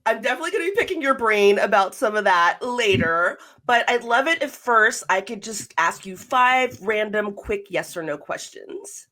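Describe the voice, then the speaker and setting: A woman's voice: mid-range voice, animated, moderate pace, hardly any pauses, sharp tone. Young woman host, bubbly and chatty, voice that swings up and down a lot. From Los Angeles area. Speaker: podcast host. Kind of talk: casual interview chat.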